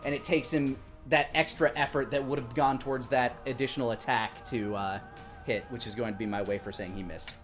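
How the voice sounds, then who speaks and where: A man's voice: mid-range voice, fairly even intonation, brisk. A man with a mid-range voice serves as dungeon master of a tabletop game. He improvises narration and talks through rulings, with faint music underneath. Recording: music playing behind the voice. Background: background music.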